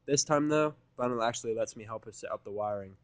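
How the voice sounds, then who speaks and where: A man reading first-person fan fiction as an audiodrama in a sardonic, grumbling, deadpan manner. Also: mid-range voice, fast talker, no room sound. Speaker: audiodrama reader.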